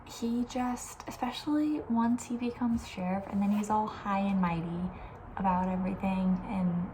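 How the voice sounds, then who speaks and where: A woman speaking softly with breathy, near-whispered edges, chatting casually and rambling with playful opinions as an ASMR host. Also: mid-range voice, slight background noise, low-quality recording. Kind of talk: soft-spoken ASMR casual chat.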